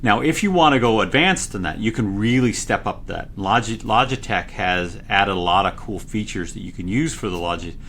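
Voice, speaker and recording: A man, a product engineer, explaining products in a conversational interview, excitable and loud when he gets going, with many restarts. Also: fairly low pitch, normal speed, hardly any pauses, slight background noise. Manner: frequent fillers and restarts.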